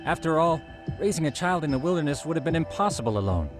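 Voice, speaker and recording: A man's voice: on the high side, sing-song, fast talker. An actor voicing a nerdy, earnest male detective in a video game, explaining things in a precise, didactic way. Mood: earnest, lecturing. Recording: background music under the dialogue.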